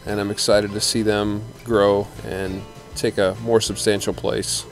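A man giving casual, relaxed commentary as he walks through a large indoor exhibition hall, filmed on a handheld camera. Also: on the low side, measured pace, soft background music. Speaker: channel host.

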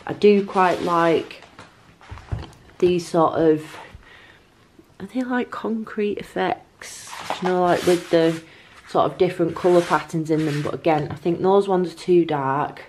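A woman's voice: lowish voice, unhurried, a little mellow, a little hiss or hum, low-quality recording. A woman, talking casually to camera as a home vlogger in a hesitant, musing way. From North of England. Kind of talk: casual vlog talk to camera. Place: living room at home.

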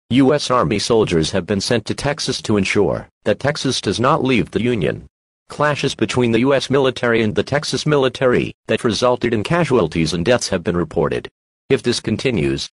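A man's voice: mid-range voice, breathy edge, no echo. A man reading a scripted emergency broadcast announcement in a formal, impersonal manner.

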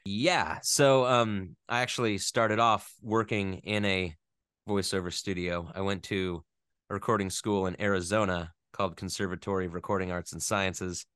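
Man, low voice, smooth and resonant, dry close sound, American from the West Coast, rambling, animated. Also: moderate pace, no echo. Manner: rambling, animated, self-deprecating. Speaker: voice actor guest. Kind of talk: casual interview chat.

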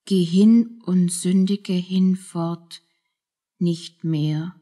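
A woman's voice: lowish voice, fairly even intonation, very slow, mellow. A woman playing a guilt-stricken, desperate young mother in a radio play, solemn and anguished in her delivery. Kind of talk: German radio play acting.

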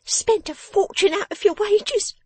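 A woman voicing a sharp-tongued, bossy, vengeful young woman in a storybook, with emphatic, snapping speech. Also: very high-pitched, measured pace, no room sound, pitched above the performer's own voice. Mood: snapping, scolding. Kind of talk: dramatised storybook reading.

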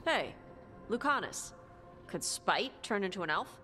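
An actress playing a composed, philosophical woman adventurer in a game cutscene, measured and direct. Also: medium pitch, sing-song, bright, forceful tone, slight background noise. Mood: curious. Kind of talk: video game cutscene acting.

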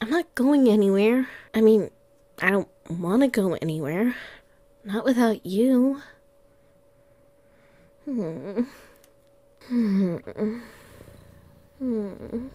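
A woman's voice: on the high side, slightly rough, full character voice. A woman voicing a playful, clingy young catgirl girlfriend in an ASMR roleplay, affectionate and cooing, close to the mic. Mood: cosy, affectionate. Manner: soft, affectionate, slow with long pauses, cooing.

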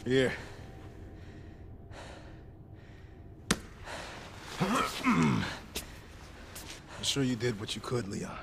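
Injured veteran cop for a game: stern, strained, commanding mentor, some background noise. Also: male voice, mid-range voice, sing-song, takes it slowly, gravelly, full character voice. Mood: weary, reassuring. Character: wounded, stern, weary male police lieutenant. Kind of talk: video game cutscene acting.

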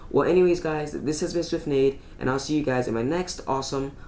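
A teenage boy giving casual, rambling commentary over his own gameplay recording at home, full of fillers and quick to complain. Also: a little high, a little mellow, slight background noise. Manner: rambling, filler-heavy, easily irritated. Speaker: gaming YouTuber. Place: home room.

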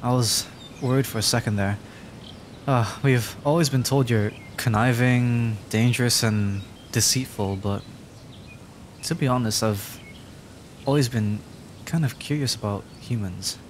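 A man's voice: medium pitch, a little slow, a little hiss or hum, lightly acted. A man voicing a timid, adorkable young dragon in a roleplay audio drama, stammering, hesitant and rambling. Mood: shy, curious.

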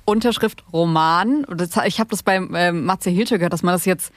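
A woman interviews a guest in a casual, conversational way as podcast host. Her voice is on the low side. She is recorded close, with a very dry sound. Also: fairly quick, dry recording.

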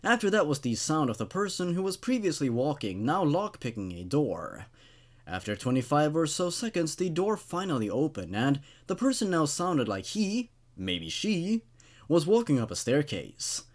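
A man's voice: a little high, big pitch swings, moderate pace. A man in his twenties hosting and reading horror stories expressively, with dramatic delivery and casual, wry asides. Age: 20s. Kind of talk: expressive story narration with casual commentary. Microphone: studio condenser microphone on a stand.

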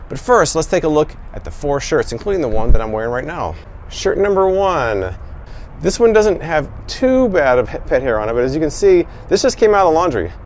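American man reviewing, noisy background. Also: on the high side. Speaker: product reviewer, host. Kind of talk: casual product review to camera. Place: covered outdoor patio and indoor laundry room.

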